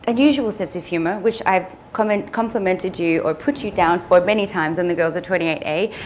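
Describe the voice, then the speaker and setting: A woman's voice: mid-range voice, slight background noise. Young woman hosting a studio talk show. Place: studio with couch and city backdrop.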